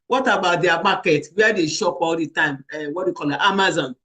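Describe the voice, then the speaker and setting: Bright-voiced man moderating a panel. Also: fairly high pitch, fairly quick. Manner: relays audience questions, restarts sentences. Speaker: host. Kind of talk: moderating a panel talk show.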